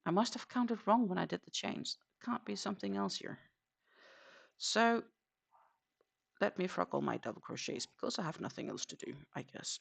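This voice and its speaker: A woman's voice: medium pitch, big pitch swings, normal speed, gravelly, slightly rough. A woman with a Danish accent chatting casually on a crochet live stream, counting her stitches aloud and answering viewers.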